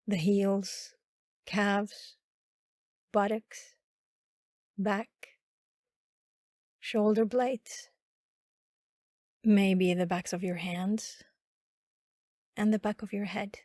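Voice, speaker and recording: A woman guiding a body scan meditation in a calm, instructional manner with long pauses. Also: mid-range voice, no room sound, clean studio sound. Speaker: meditation guide.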